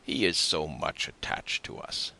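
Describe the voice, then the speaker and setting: A man voicing a tender, cautious old father in an audiobook, gentle and halting. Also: extremely low pitch, very animated, creaky, full character voice. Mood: pleased. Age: older.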